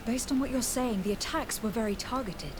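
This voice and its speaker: A woman playing a composed, diplomatic young noblewoman legate in a video game, speaking formally and asking measured questions. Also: on the high side, over music, natural voice. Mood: measured, probing. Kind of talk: scripted video game dialogue.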